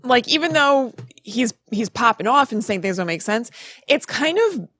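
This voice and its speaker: A woman's voice: fairly high pitch, expressive, moderate pace, fairly bright tone. A woman co-hosting a podcast, chatting casually and informally. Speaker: podcast co-host. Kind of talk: casual chat.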